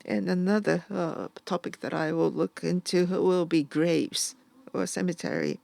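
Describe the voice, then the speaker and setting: Interpreter at a museum talk, very slow and halting, heavy vocal fry. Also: mid-range voice, expressive, darkish tone, no echo. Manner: halting, with an "uh" before nearly every phrase.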